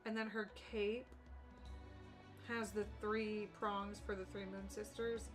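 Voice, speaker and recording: A woman's voice: medium pitch, level tone, takes it slowly. Young woman chatting casually over game music. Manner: chatty, opinionated, slangy. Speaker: game streamer. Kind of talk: casual live gaming commentary.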